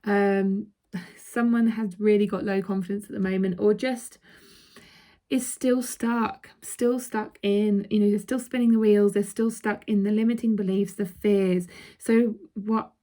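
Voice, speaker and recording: A woman with a croaky throat doing a casual card reading for her viewers. She speaks chatty and direct, often checking in with "okay?" and laughing between points. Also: mid-range voice, level tone, measured pace, mellow. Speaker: intuitive card reader.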